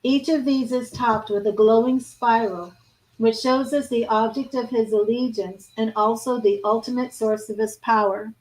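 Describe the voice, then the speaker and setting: A hoarse woman losing her voice, giving a live tarot reading and reading card meanings aloud between casual asides. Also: a little high, fairly even intonation, moderate pace, darkish tone, poor audio. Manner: reads card meanings aloud, then chats and restarts. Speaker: tarot reader.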